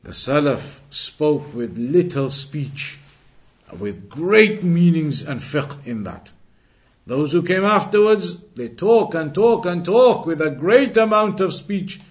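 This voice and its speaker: A man with a slightly higher-pitched voice delivers an explanatory religious lecture. He is emphatic, restating each key point in different words as an Islamic teacher would for students. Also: animated, a little slow.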